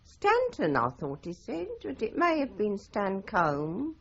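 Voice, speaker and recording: Wildly animated, husky English landlady. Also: medium pitch, slow pace, highs cut off, exaggerated voice. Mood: dramatic recounting. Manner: theatrical, florid, declamatory storytelling. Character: garrulous, dramatic London landlady, respectable and indignant. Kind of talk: 1980s radio drama acting.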